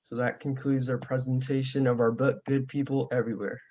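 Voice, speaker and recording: A young man, a student volunteer, introducing himself and reading a picture book aloud in a steady, plain way over a video call. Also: medium pitch, fairly quick, lo-fi sound, slight background noise. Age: young adult.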